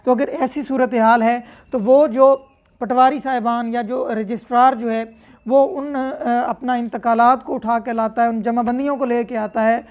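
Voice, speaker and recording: High-voiced Pakistani woman lecturing. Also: steady tone, normal speed, a little mellow, highs cut off. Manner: didactic, step-by-step, checking with tag phrases. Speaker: law lecturer. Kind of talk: explanatory lecture reading statutes in English and explaining them with examples.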